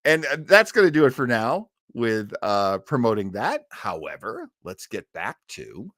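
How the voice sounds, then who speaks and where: A man hosting an old time radio livestream in a casual, chatty, enthusiastic way, often rambling and pausing to search for words. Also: mid-range voice, sing-song, fairly bright tone, dry recording, studio quality.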